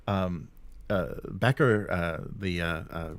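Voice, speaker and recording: Casual, low-voiced man, halting delivery. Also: no room sound. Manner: halting, rambling, searching for words. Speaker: co-host of a weekly tabletop gaming news show. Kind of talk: casual news chat.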